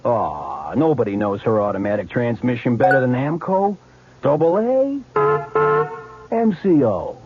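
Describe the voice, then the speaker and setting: An actor playing a chipper, reassuring transmission shop clerk in comic radio commercial style. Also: male voice, medium pitch, big pitch swings, unhurried, over music. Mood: reassuring, salesmanlike. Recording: dull, noisy radio.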